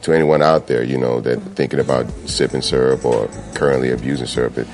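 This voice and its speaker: Very deep, gravelly man being interviewed. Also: fairly even intonation, fast talker, darkish tone, soft background music. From Houston, Texas. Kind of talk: spontaneous interview answer.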